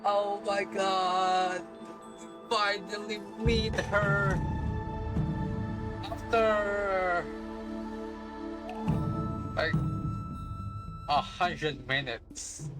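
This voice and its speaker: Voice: mid-range voice, very slow, pauses often. A streamer giving a casual live reaction to a game story, in an awestruck, gushing, slangy way. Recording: game music behind the voice. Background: game music and dialogue.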